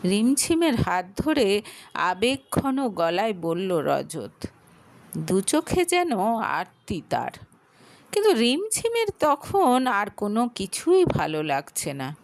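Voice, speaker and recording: A woman narrating a romantic audio story with a Bengali accent, telling it slowly and expressively. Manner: slow, expressive storytelling with lively pitch.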